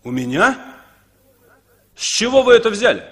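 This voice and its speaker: An actor playing a brooding, bitter passenger who moralizes in a measured, rhetorical way in a 1960s Soviet radio drama. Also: male voice, extremely high pitch, big pitch swings, full character voice. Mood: guarded.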